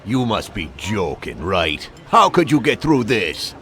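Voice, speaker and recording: An actor playing a gruff detective in a video game, answering with sardonic disbelief. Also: male voice, lowish voice, sing-song, measured pace, fairly bright tone, faint music behind. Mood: incredulous. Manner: incredulous, sardonic. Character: gruff, skeptical detective questioning a gangster. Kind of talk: video game cutscene dialogue.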